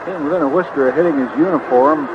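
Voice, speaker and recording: A man's voice: a little high, fast, music in the background. A man giving conversational color commentary on a live radio baseball broadcast. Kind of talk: conversational live game analysis. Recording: noisy, dull vintage radio broadcast. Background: outdoor stadium ambience.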